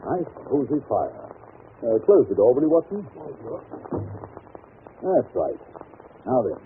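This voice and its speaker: Commanding detective, brisk and courteous, widely swinging intonation, 1930s radio drama, tinny scratchy recording. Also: male voice, mid-range voice, sing-song. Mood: reassuring, courteous.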